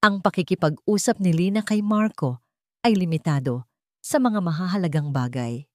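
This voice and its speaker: A woman's voice: fairly low pitch, moderate pace, no echo. A woman with a Filipino accent narrating a scripted dramatic audio story.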